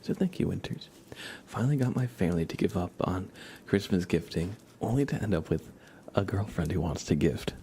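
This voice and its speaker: A man with a low voice hosts a casual ASMR livestream, speaking softly and often slipping into a whisper. He rambles playfully to his viewers. Some ambient noise sits behind him. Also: animated, a little fast. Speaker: livestream host.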